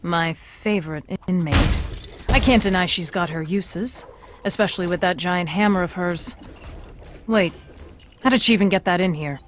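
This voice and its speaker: A woman's voice: lowish voice, moderate pace, noisy background, natural voice. A woman playing a stern middle-aged government commander in a video game, speaking with authority and dry sarcasm. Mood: dry, wry. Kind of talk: scripted video game acting.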